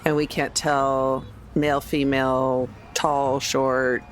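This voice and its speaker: A woman's voice: a bass voice, little pitch movement, slow pace. Woman roleplaying casually, some background noise. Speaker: tabletop game player. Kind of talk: casual in-character game chat.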